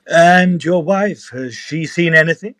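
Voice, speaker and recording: A man playing a brisk, sceptical gentleman ghost investigator who narrates a period audio drama with dry pomposity. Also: on the high side. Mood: inquisitive.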